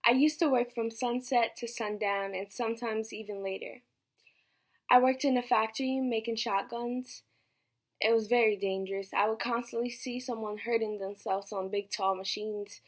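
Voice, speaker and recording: A woman playing a worried 1940s American working wife in a quiet, scripted first-person monologue. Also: on the high side, steady tone, normal speed, close to an everyday voice. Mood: worried, subdued.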